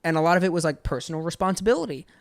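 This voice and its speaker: A young American man in his twenties with a fairly high, smooth voice. He talks in an earnest, casual storytelling way, like a podcast guest. The sound is close and dry. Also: animated, very fast, no echo. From Georgia, USA. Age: 20s.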